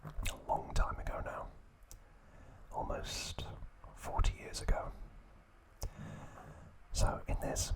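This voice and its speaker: Whispering man, slow ASMR delivery. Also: poor audio. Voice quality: breathy, unvoiced whisper. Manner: slow, hushed, soothing delivery. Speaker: ASMR video host. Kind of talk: whispered ASMR trivia reading.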